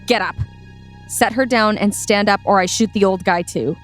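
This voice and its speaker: A woman's voice: medium pitch, moderate pace, soft background music, close to an everyday voice. A woman acting in a satirical dystopian adventure audio play. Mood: menacing.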